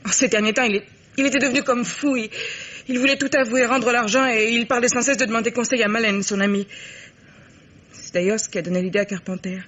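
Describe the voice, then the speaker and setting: An actress playing a frightened, defensive young woman accomplice under questioning, halting and insistent, in old French radio mystery style. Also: a little high, fairly even intonation, a bit bright. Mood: anxious confession. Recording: muffled, hissy old radio drama recording.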